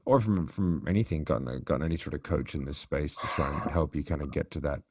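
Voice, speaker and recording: Very deep-voiced man, probing sales caller. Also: fast, darkish tone, slightly rough, studio quality. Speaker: sales coach on a call with a prospect. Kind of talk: consultative sales call conversation. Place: recorded call, roomy sound. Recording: roomy call recording.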